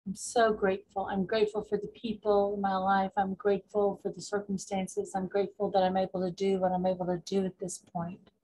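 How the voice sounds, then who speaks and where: A woman's voice: medium pitch, monotone, a little fast, hardly any pauses, dark tone, poor audio. Woman leading a guided affirmation meditation. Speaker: guided meditation leader, spiritual coach.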